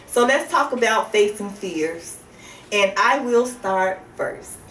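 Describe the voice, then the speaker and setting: American female radio host, middle-aged, unhurried deliberate delivery, roomy studio sound. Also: medium pitch, expressive, a bit bright. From Chicago, United States. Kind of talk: casual motivational radio talk. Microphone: studio microphone on desk. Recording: roomy, distant mic, faint background noise.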